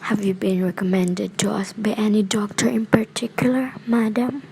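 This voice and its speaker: A woman's voice: mid-range voice, little pitch movement, moderate pace, vocal fry, mellow, lightly acted. A woman acting in a radio play as a polite, detached hospital registry clerk with a formal manner. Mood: formal, unruffled. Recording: faint background noise.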